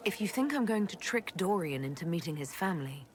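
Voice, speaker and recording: A woman's voice: on the low side, expressive, fairly quick, close to an everyday voice. Woman acting a frank, skeptical fantasy leader. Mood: suspicious. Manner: direct, skeptical. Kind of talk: video game dialogue acting. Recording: clear background noise, game ambience.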